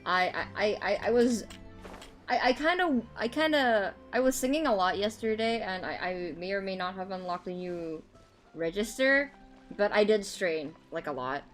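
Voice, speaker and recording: A woman chatting casually while playing a video game, bubbly and giggly, her voice a little hoarse from singing strain. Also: medium pitch, lively intonation, moderate pace, faint music behind. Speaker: streamer. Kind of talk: casual let's play chat. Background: game audio.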